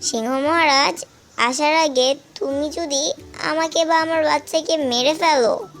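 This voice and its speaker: Woman playing a high-voiced bold mother goat. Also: steady tone, measured pace, soft background music. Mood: bold bluffing. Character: bold, quick-witted mother goat, bluffing protectively. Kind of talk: cartoon voice acting.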